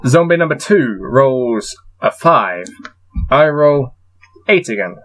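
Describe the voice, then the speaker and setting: A man reading a gamebook aloud and adding low-key, wry muttered commentary as he plays. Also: expressive, takes it slowly, a bit bright, soft background music. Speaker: solo gamebook player and reader.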